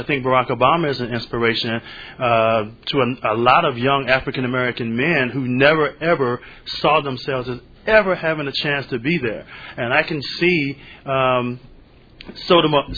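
A man's voice: medium pitch, breathy edge. A man in his eighties, a panelist and teacher, speaking unhurriedly and thoughtfully with many fillers in a panel discussion. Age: 80s. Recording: slightly dull lo-fi recording.

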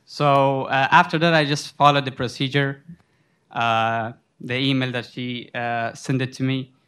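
A man's voice: mid-range voice, level tone, measured pace, fairly bright tone, clear, resonant tone. A young man from Afghanistan, a student on a panel, telling a long rambling story full of restarts. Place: college library.

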